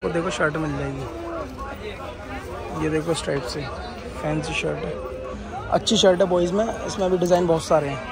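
A man's voice: a little high, fairly even intonation, moderate pace, creaky, long pauses. A male vlogger walking through a crowded outdoor market, casually pointing out goods and prices on a handheld phone. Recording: loud crowd noise. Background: crowd and traffic.

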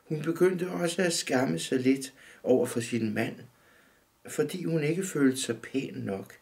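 A man in his fifties calmly reading his own short story aloud in a dim room, measured and steady. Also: mid-range voice, creaky. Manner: calm, measured reading, often looking down at the text. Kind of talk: literary reading.

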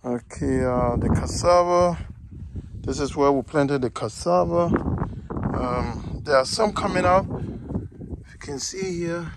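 Guy slowly filming a farm tour on his phone outside, lots of uh's, a bit of background hiss. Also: a little high, creaky. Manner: halting, many fillers, pleading for subscribers. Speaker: vlogger giving a farm tour. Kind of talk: casual walkthrough narration. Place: walled farm plot, outdoors.

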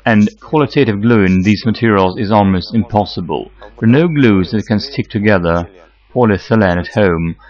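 Man, 40s, low pitch, measured instructive voice-over, muffled lo-fi sound. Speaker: technical consultant. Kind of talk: scripted how-to narration. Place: electronics workshop, indoors.

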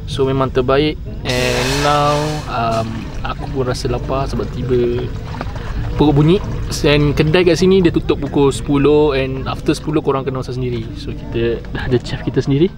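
A man's voice: mid-range voice, steady tone, normal speed, over music. Young Malaysian man, easygoing vlogger, slangy and playful, on a handheld camera outdoors. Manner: chatty, joking, slangy. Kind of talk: casual vlog chat. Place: outdoor campsite.

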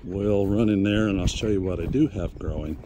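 Middle-aged guy chatting his way around his snowy farm, kinda low voice, phone cam outside, little bit of wind noise. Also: fairly quick. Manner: relaxed, folksy, chatty. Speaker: farmer giving a tour. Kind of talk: casual walking tour commentary. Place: outdoors on a snowy farm and woods.

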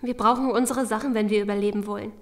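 A woman's voice: medium pitch, steady tone, quick pace, close to an everyday voice. A woman playing a worried, questioning survivor in a German zombie audio drama. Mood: wary. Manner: anxious, questioning.

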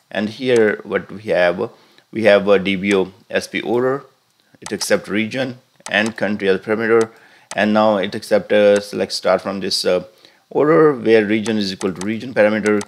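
A man with a fairly low voice talks through a database tutorial over a screen recording. He explains each step plainly and often stops on "uh" and restarts his sentences. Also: fairly quick. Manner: hesitant, many "uh" fillers and restarts. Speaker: tutorial instructor.

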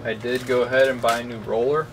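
A man's voice: mid-range voice, fairly even intonation, quick pace. A man in his twenties talking casually through a how-to job as a DIY video host, recorded outdoors on a camera mic. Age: 20s. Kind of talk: casual how-to vlog talk. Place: outdoors beside a shed. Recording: clearly noisy outdoor ambient hum.

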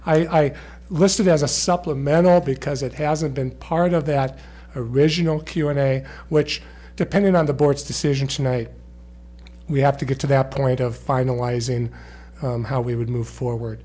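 Middle-aged man, administrator type, at a meeting-room desk mic, measured delivery with many ums. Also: mid-range voice, steady tone, warm tone. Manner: measured, with frequent fillers and restarts. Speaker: school district administrator presenting to the board. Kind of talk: formal meeting presentation. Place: school board meeting room. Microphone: desk microphone.

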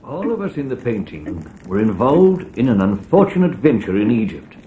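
Male actor, grave gruff man, animated intonation, audio storybook, faintly noisy old cassette. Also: mid-range voice, normal speed, dull audio, lightly acted.

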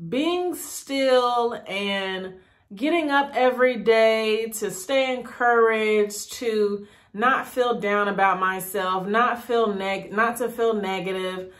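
A woman's voice: medium pitch, fairly bright tone. A woman in her thirties vlogging in a casual, encouraging big-sister way, upbeat and repeating words for emphasis. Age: 30s. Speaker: YouTube vlogger. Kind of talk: casual encouraging vlog talk.

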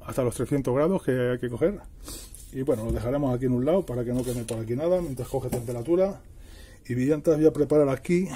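A man's voice: mid-range voice, mellow, slightly rough. A middle-aged man explaining a hands-on task casually, repeating and rephrasing himself as he works. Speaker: DIY tutorial host. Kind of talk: casual hands-on tutorial narration.

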